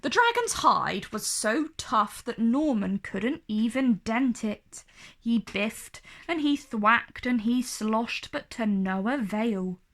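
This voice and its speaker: A woman narrates a children's storybook in a fairly high voice. She takes her time over it, reading very deliberately and with dramatic expression. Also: expressive, a bit bright.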